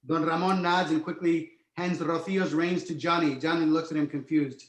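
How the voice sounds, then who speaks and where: A man's voice: on the high side, steady tone, normal speed. A man reading stage directions as the narrator of a screenplay table read. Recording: roomy, echoing home recording.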